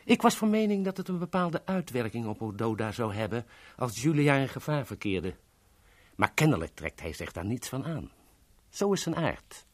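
An actor playing a courteous, coolly threatening henchman under orders in a radio drama, formal and measured. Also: medium pitch, dry recording.

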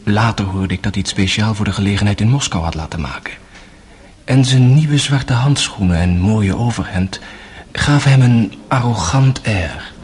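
A man narrating a radio drama as a grave, sorrowful young brother, in measured, literary phrases that sink to a hush. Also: on the low side, natural voice.

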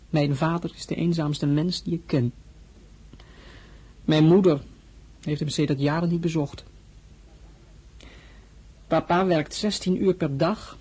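An actor playing a young crown prince in a radio play, formal and earnest, sometimes getting heated. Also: male voice, on the high side, darkish tone, breathy edge.

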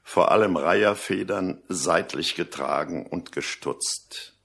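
A fashion announcer in a radio play. His male voice sits very low and crackles with fry. It sounds husky and rough as he reads out the trends. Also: takes it slowly, fairly bright tone, heavily acted. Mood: measured. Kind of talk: scripted radio drama acting.

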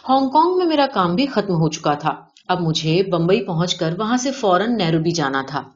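A woman's voice: on the low side, very animated. A woman reading a scripted short story as an audiobook narrator, in a recording with dull, band-limited sound.

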